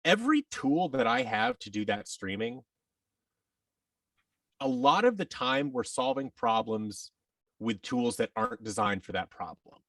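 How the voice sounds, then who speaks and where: A man's voice: medium pitch, wildly swooping pitch, a little slow, gravelly, fairly bright tone, no room sound, distant mic. A man giving a rambling, enthusiastic explanation as a guest in a conversational interview. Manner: rambling, enthusiastic explaining, acts out imagined quotes. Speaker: podcast guest, audio drama producer.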